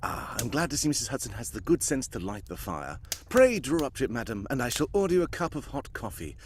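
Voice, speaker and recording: A man playing a composed, observant gentleman detective in old-time radio style, speaking with formal, precise courtesy. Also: mid-range voice, sing-song, quick pace, a little hiss or hum, lightly acted. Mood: courteous. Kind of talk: cold-read live old-time radio drama acting.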